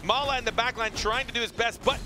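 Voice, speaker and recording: A man casting an esports match, excitable and jokey, quick to laugh at himself. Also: noisy background. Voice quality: deep voice. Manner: excitable, jokey, self-mocking. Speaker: esports caster. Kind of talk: play-by-play esports commentary.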